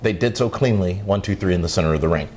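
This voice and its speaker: A man's voice: fast talker, darkish tone, slight background noise. A man hosting a wrestling talk show, running through the news and needling his co-host. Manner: teasing, roasts his co-host, pitches the show to viewers.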